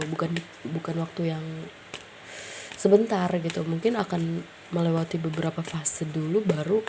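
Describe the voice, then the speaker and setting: A woman reads tarot cards in a casual, unhurried way, her voice low and gravelly with a fried edge. A cold has left her stuffy and nasal. She muses aloud with plenty of hesitant fillers, and a faint hiss sits under the recording. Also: warm tone. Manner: musing, thinking aloud with frequent fillers; mixes in English words. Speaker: tarot reader.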